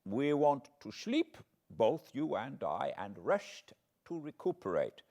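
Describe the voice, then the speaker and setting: A man voicing an elderly foreign professor from a classic novel, solemn and fatherly, with quaint, deliberate phrasing. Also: medium pitch, big pitch swings, dark tone, pitched above the performer's own voice, exaggerated voice. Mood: weary, kindly. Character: elderly foreign male professor, grave, tender, paternal, eccentric.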